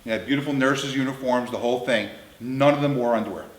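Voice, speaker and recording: A man's voice: medium pitch, fast. A man in his fifties telling comic anecdotes in a blunt, crude, emphatic way. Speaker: storyteller. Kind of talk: comedic anecdote storytelling. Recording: roomy, echoing indoor sound.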